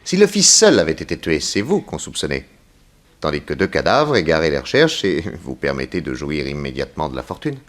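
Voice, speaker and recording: A shrewd detective with a very deep voice. His intonation is lively and theatrical as he probes with insistent irony, in the manner of a 1960s radio mystery serial. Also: brisk, full character voice. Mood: sly, accusing. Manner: probing, ironic, theatrical. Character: shrewd, insistent male private detective questioning suspects.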